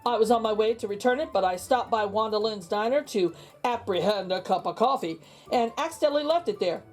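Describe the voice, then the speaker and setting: Lady doing a small town cop guy from a picture book, all official and deadpan. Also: mid-range voice, brisk, faint music behind, close to an everyday voice. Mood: matter-of-fact.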